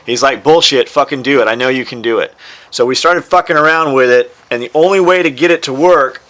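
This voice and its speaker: A man's voice: medium pitch, fairly quick, fairly bright tone. A man who makes gear, telling a story casually and bluntly with plenty of swearing. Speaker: gear maker.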